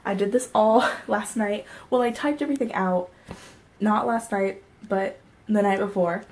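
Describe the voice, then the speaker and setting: A woman's voice: medium pitch, fairly even intonation, measured pace, darkish tone. Young woman rambling casually to her camera, bit stuffy from a cold, lots of "um" and "like". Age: young adult. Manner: chatty, laughs often, many fillers. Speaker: vlogger.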